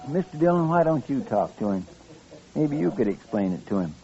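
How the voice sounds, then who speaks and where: A man's voice: mid-range voice, sing-song, a little fast, warm tone. A man playing an earnest, deferential deputy sidekick in an old radio western, with a hesitant, folksy rural American drawl. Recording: dull vintage radio recording.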